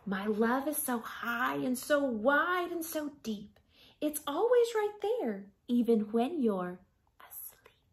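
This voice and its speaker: Woman, thirties, slow pace, creaky voice, gentle storytime read-aloud for children, hushed line endings. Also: sits high. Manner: gentle, deliberate, expressive storytelling with softened, breathy line endings. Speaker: elementary school teacher reading to children. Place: small living room at home.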